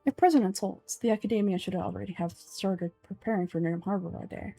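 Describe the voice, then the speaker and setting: A woman voicing a calm, aloof young male scholar with a deadpan, measured delivery in a fantasy game. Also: medium pitch, vocal fry, breathy edge, dry recording, faint music behind. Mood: matter-of-fact.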